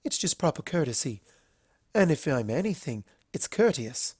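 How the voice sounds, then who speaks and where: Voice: mid-range voice, big pitch swings, normal speed, a little mellow, breathy edge, no room sound, studio quality. A performer voicing a refined, courteous, slightly anxious female unicorn pony in a storybook reading, with polite, careful phrasing. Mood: courteous, probing.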